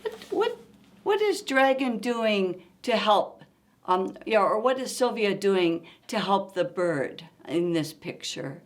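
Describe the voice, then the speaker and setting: A woman hosting a storytime, reading a picture book aloud to young children in an expressive, coaxing way and asking them questions. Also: mid-range voice, takes it slowly.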